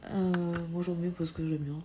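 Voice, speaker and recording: A woman with a cold giving a live makeup tutorial on a phone stream, hesitant with many filler pauses, sometimes dropping to a whisper while she works. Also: on the low side, level tone, mellow, slight background noise. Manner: drops to a whisper while applying. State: has a cold. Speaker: makeup livestreamer.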